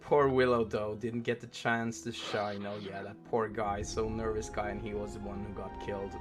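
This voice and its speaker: A man's voice: lowish voice, flat delivery, normal speed, music in the background. A man from Norway with a Norwegian accent, chatting casually as the game master and host of a streamed tabletop game. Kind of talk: casual post-game chat.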